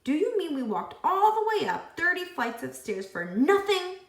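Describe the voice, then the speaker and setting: A woman's voice: very high-pitched, big pitch swings, normal speed, echoey room, pitched above the performer's own voice, heavily acted. A woman voicing blustering, dim-witted masked male robbers in a children's story, in a demanding, bewildered manner. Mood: baffled, annoyed.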